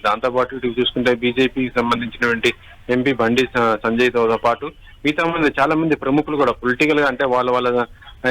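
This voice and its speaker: A man giving a live unscripted news report, halting and repeating himself as he goes. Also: medium pitch, fairly bright tone, low-quality recording. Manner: halting, repetitive, restarts words.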